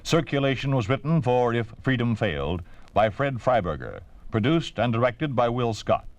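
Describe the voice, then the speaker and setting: A man's voice: mid-range voice, big pitch swings, normal speed, dry recording. An American man reading a formal, solemn script as a 1950s radio announcer. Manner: solemn, declarative. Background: music.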